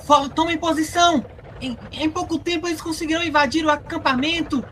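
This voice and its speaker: A woman's voice: high voice, steady tone, a little fast, a bit bright, a little hiss or hum. A woman playing a brave, defiant teenage boy prince in an audio drama, bold and provocative. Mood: urgent, commanding.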